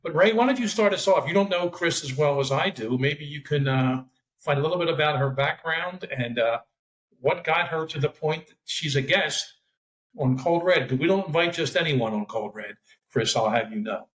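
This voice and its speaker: A man's voice: fairly high pitch, moderate pace, fairly bright tone. Rambling man in a roomy echo. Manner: rambling, many fillers. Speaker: podcast co-host, former profiler. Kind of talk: casual podcast chat.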